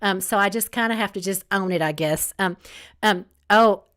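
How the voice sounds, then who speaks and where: A woman with a Southern accent from Alabama, an author chatting casually on a livestream and reading out viewer comments, rambling with many pauses and restarts. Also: medium pitch, fairly bright tone. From Northeast Alabama. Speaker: author hosting a live book chat.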